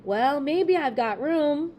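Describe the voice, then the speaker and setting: A woman's voice: sits high, animated, takes it slowly, dull audio, slight background noise, pitched above the performer's own voice. A woman voicing an eager, outgoing, folksy girl in a storybook read-aloud, with an emphatic way of talking. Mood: folksy, upbeat.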